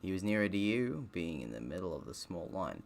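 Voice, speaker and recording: A man's voice: low voice, sing-song, a little fast, vocal fry. A man who streams games, reading a visual novel aloud, stumbling a little and dropping in offhand, self-deprecating comments. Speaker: gaming streamer.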